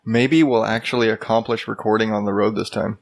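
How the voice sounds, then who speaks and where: Early 30s guy, gravelly voice, dry jokes, cohosting a chatty podcast. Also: fairly low pitch, fairly even intonation, fairly quick. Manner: dry, wry joking. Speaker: podcast co-host. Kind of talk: casual chat. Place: home in Arizona.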